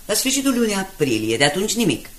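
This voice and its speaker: A woman's voice: mid-range voice, big pitch swings, fairly bright tone. A woman playing an anxious, dutiful newspaper secretary in a radio drama, formal and deferential. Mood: alarmed, grave. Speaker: actor.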